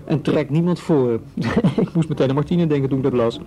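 An actor playing a young male police officer in a radio drama, calm and hesitant with a mild irony. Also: a little high, a little fast, natural voice.

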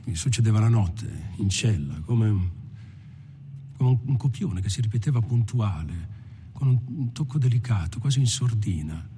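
An actor playing a reflective male lifer who recounts his past in a halting, literary, introspective monologue. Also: on the low side, expressive, mellow, slight background noise, lightly acted. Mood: melancholy, rapt. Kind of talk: film monologue told like a personal testimony.

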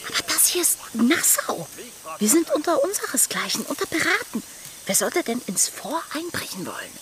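A woman's voice: sits high, sing-song, normal speed, crowd noise. An actress playing a daring young female pirate who passes as a lad, in a German radio play. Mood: wary, hushed. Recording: music behind the voice.

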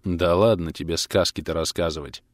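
A man voicing a grumpy, sarcastic retired engineer in an audiobook, with gruff, crude, grumbling speech. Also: low-pitched, fairly even intonation, normal speed, no room sound, studio quality, voice pushed down, close to an everyday voice. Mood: dismissive.

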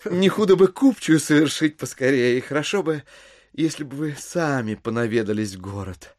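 An actor playing an ingratiating gentleman schemer who declaims with florid courtesy in a classic audio drama. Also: mid-range voice, animated, moderate pace, no room sound, clean studio sound, lightly acted. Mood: courtly, flattering. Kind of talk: theatrical Russian classic audio drama acting.

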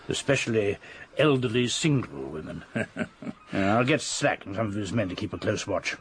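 An actor playing a brisk, blustery English police colonel in a radio drama, clipped and hearty. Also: male voice, lowish voice, lively intonation, slight background noise, natural voice. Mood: brisk, wry.

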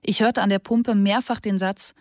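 Female diary reader, measured and sober, dead-dry booth. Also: mid-range voice, fairly even intonation, darkish tone, dry recording. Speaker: narrator reading a diary. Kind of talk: literary diary reading for radio.

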